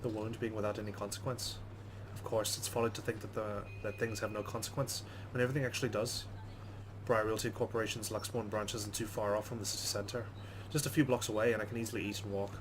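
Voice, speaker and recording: A man's voice: lowish voice, little pitch movement, fast talker, background noise. A man hosting a let's play, reading game narration aloud with casual commentary.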